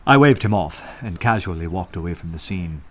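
An actor playing a witty, self-assured young poet in a radio play, courteous, dry and eloquent. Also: male voice, deep voice, expressive, moderate pace. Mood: reflective narration. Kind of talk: full-cast radio play acting with first-person narration.

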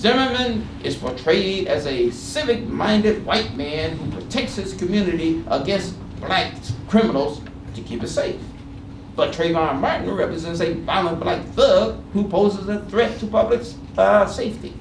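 A middle-aged American man speaking on a panel, reading a prepared statement with asides in an emphatic, deliberate, sometimes halting way. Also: high voice, lively intonation, vocal fry. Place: indoor panel room. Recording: roomy, distant microphone, noisy background.

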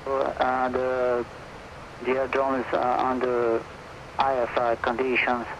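A man, an air traffic controller, reading out clearances with frequent pauses. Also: medium pitch, monotone. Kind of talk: radio clearances. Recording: muffled radio with static.

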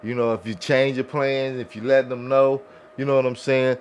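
A man's voice: medium pitch, flat delivery, moderate pace, slight background noise. An American man chatting casually on a podcast, earnest and rambling, often adding "you know". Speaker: podcast co-host. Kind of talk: casual chat. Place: small room set up as a podcast studio. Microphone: studio mic on boom arm.

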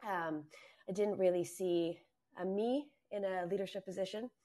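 A woman's voice: medium pitch, normal speed, mellow. A woman panelist who is losing her voice, speaking earnestly on a video call. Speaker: head of analytics, panelist.

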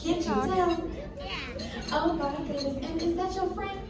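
Princess host,: high and sweet, doting on kids, heard from afar in an echoey hall. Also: female voice, a little slow. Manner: sweet, fawning, speaks to children. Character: gracious fairy-tale princess, young woman, sweet and doting. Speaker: princess character hosting a tea party. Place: large ornate dining room on a cruise ship. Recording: echoey dining hall, far from the mic, music behind.

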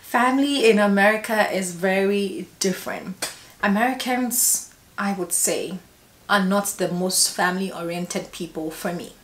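A woman's voice: medium pitch, a little slow, echoey room, slight background noise. A woman in her twenties with a Ghanaian accent, chatting emphatically and laughing often while doing her makeup on camera. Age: 20s. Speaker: beauty vlogger. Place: small indoor room.

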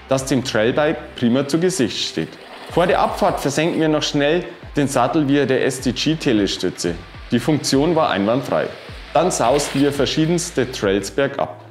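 A man in his thirties giving a scripted bike review, reading specifications in an even, informative way. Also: mid-range voice. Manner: even, informative delivery dense with specs. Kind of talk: scripted product review narration. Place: wooden-walled workshop room, indoors. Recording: roomy sound with faint music.

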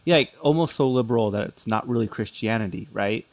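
A man's voice: on the low side, fairly quick, dry recording. A man interviewing a guest as podcast host in a casual, conversational style.

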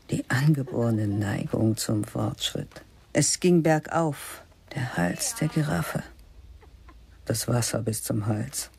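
A woman narrating a literary radio play in a slow, measured, spare voice that often sinks to a whisper. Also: low voice, darkish tone, slight background noise.

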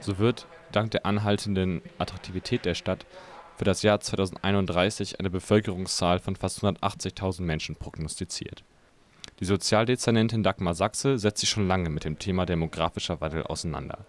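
A man's voice: sits low, brisk. A man reading scripted narration as a news reporter.

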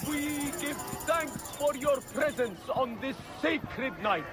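An actor playing a solemn male high priest who declaims a ritual slowly in a video game. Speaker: high priest leading a rite. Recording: game audio with music and crowd. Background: music and crowd.

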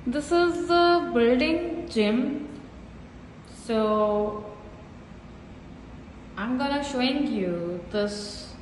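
Woman vlogger, unhurried and repetitive, phone mic in a boomy room with a long reverb tail. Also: animated, a little hiss or hum. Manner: simple repetitive pointing-out explanations. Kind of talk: casual gym tour vlog. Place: small indoor building gym. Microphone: phone held while filming. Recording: echoey room with hall reverb.

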